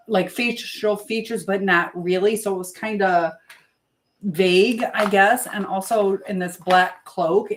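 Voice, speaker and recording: A woman speaks casually as the host of a live stream, in a medium-pitched voice. She rambles from thought to thought in a loose, unscripted way, like someone talking while busy with chores. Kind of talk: casual live chat monologue.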